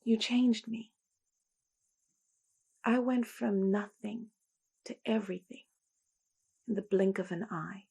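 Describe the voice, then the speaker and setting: A woman performing a poetic dramatic monologue with deliberate, emphatic phrasing. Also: medium pitch, fairly even intonation, creaky, darkish tone, husky.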